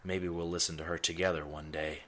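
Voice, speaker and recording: Man as a brooding ponderer, low even voice, deadpan, wordy audio drama monologue, faint background ambience. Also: fairly quick, a little hiss or hum. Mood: brooding, musing. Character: brooding, self-important male city dweller and ponderer. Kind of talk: scripted first-person noir-like narration.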